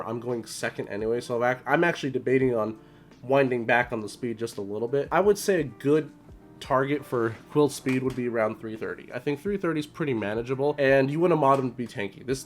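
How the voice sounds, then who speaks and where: A young man with a slightly hoarse voice, talking casually at home through a game strategy walkthrough, full of ums and restarts. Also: mid-range voice. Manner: chatty, many ums and restarts. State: slightly hoarse, recovering from illness. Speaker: game guide creator. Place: home room, indoors.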